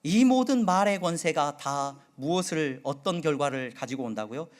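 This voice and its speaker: A middle-aged male pastor from the Jeolla region preaching a sermon, with a slight Jeolla accent, asking his congregation many questions and moving between loud and soft delivery. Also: on the high side, lively intonation, normal speed. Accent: Seoul Korean with occasional Jeolla dialect. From Jeolla region, Korea.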